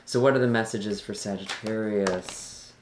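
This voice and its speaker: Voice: mid-range voice, fairly even intonation, normal speed. A tarot reader hosting a channel and talking casually to viewers, with a slightly scratchy, tired voice. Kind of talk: casual talk introducing a general tarot reading.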